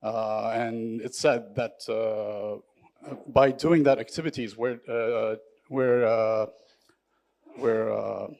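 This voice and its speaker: A man from Japan in his thirties, introducing himself on a panel in halting speech full of fillers and restarts. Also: lowish voice, fairly even intonation, creaky, darkish tone. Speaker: panelist, startup executive.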